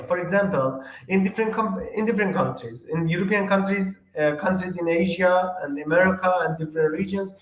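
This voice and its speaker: A man giving a halting workshop presentation, heavy with filler sounds. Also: high-pitched, a bit bright. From Iran. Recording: roomy echo.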